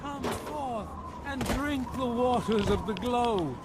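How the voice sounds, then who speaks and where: An actor playing a fervent wasteland cult preacher in a game, declaiming from a distance. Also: male voice, very high-pitched, very noisy. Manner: declamatory, drawn-out.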